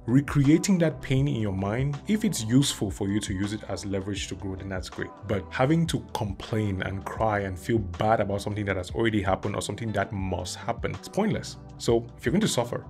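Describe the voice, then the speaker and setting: A man in his thirties from Cameroon, giving an earnest, measured self-help talk to camera and listing his points one by one. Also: fairly low pitch, animated, a little mellow, soft background music. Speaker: vlogger.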